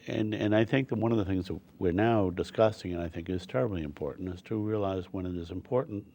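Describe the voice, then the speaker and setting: An older man talking as a guest in a casual interview, earnest and halting, often repeating his words. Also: sits low, gravelly, warm tone. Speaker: guest on a talk show.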